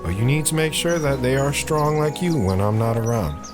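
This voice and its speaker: A man playing a gentle, encouraging father in an audio drama, calm and reassuring. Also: medium pitch, moderate pace, music in the background, natural voice. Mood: warm, reassuring.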